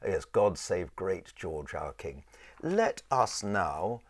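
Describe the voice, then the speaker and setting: A man with a low voice teaching a choir in an informal, rambling and halting conversational way. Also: sing-song, no room sound. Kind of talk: informal instructional talk with sung demonstrations.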